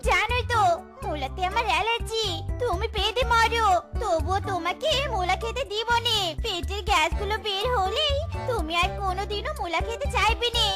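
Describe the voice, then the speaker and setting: A bossy young wife from a comic animal cartoon. Her voice is extremely high and shrill, and she nags and orders like a born scold. Clear music plays behind her. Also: moderate pace. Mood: nagging. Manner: shrill, nagging, commanding. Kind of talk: exaggerated Bengali cartoon voice acting.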